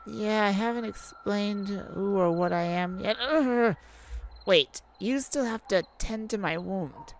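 A woman voicing a wounded fallen-star alien in a roleplay audio drama, proud, wry and bewildered by humans, speaking haltingly in slightly formal wording. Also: medium pitch, a little hiss or hum, pitched above the performer's own voice. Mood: uneasy, awkward.

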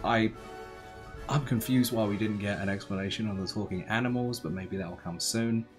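A young man giving casual, slangy reaction commentary, musing aloud in a laid-back, tired, low-energy way. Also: normal speed, over music. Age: young adult. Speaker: anime reaction host. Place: small bedroom, indoors.

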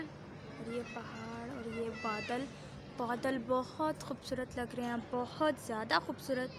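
A young woman vlogger casually narrating a walking tour, often checking in with "theek hai" as she shows things around. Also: a little high. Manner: chatty, keeps adding "theek hai" while showing around. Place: outdoors at a large mosque courtyard. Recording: outdoor phone recording with crowd and ambient noise.

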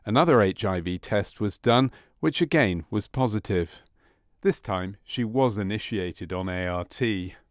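A man reading scripted documentary narration about a medical case in a measured, clinical manner. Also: on the low side, no room sound, clean studio sound.

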